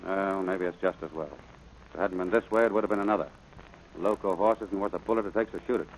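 An actor playing a stern, gruff ranch boss and father in a 1940s radio drama. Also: low voice, fairly even intonation, fast talker, close to an everyday voice. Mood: resigned. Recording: noisy old radio drama recording.

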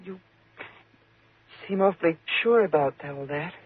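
A woman's voice: lowish voice, lively intonation, a little slow, no echo, full character voice. An actress playing a nervous, fearful woman in a 1950s radio thriller, with a faltering, agitated delivery. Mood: nervous, evasive. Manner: faltering, agitated, breathy gasps. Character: nervous, fearful woman trapped with a menacing man. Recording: muffled, tinny 1950s radio recording.

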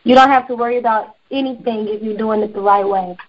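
A woman's voice: fairly high pitch, steady tone, quick pace, slight background noise. A woman in her twenties with a slightly raised voice gives sincere encouragement as a gospel singer guest. The recording is muffled and lo-fi. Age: 20s.

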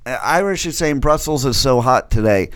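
A man's voice: mid-range voice, lively intonation, moderate pace, a crowd in the background. A man hosting a radio talk show, bantering bluntly and joking as he talks over his co-hosts.

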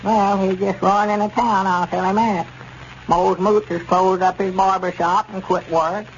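High-voiced folksy old country storekeeper. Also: male voice, little pitch movement, normal speed. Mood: matter-of-fact. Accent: rural Southern US. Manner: homespun, plain-spoken, grumbling. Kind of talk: 1930s radio comedy acting. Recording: dull, noisy 1930s radio recording.

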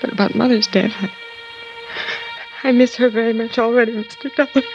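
An actress in a 1950s radio drama playing a composed, quietly intense young woman, reserved and thoughtful, asking things directly. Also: on the high side, steady tone, a little fast, music in the background, natural voice. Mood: subdued. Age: young adult. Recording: dull, noisy old radio broadcast.

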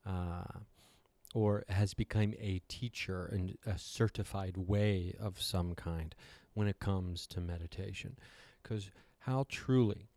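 A man with a slightly raspy voice, giving a casual, rambling talk with wry, self-deprecating asides. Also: on the low side, mellow, dry recording. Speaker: host giving a talk on meditation. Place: hotel room.

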